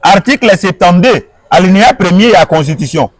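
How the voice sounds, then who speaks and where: A man's voice: high voice, lively intonation, a little fast, dry recording. A man answering an interviewer, arguing his point with repeated rhetorical questions and switching between French and Lingala. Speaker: guest.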